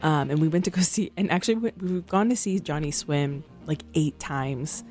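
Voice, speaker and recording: Brief: low-voiced young woman radio DJ, rambling and chatty, soft music bed underneath. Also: normal speed. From San Francisco. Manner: chatty, rambling, many ums and likes. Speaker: radio show host. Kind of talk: casual radio chat between songs. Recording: faint music behind.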